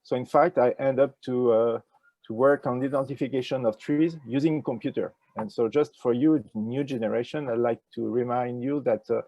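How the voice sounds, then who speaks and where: An older man with a French accent talks in a gravelly, mellow voice. He answers in a halting way, full of fillers and restarts. The sound is dry, from a wired earphone mic on a video call. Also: mid-range voice, steady tone, no room sound, dull audio. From France. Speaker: ecology professor, interview guest. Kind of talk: casual interview answers. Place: indoors with a virtual nature backdrop.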